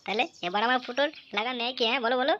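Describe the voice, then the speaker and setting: A woman's voice: fairly high pitch, very fast, a bit bright, lightly acted. A woman playing a fussing, inquisitive village mother and housewife in a comic cartoon. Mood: delighted. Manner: chatty, inquisitive, exclaiming. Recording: dull lo-fi audio with some noise.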